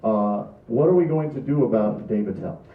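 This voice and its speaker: A man's voice: fairly low pitch, expressive, normal speed, lightly acted. A man playing a dutiful film production assistant reporting problems in an amateur script reading. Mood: concerned. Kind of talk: amateur script table read. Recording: tinny, echoey, distant mic.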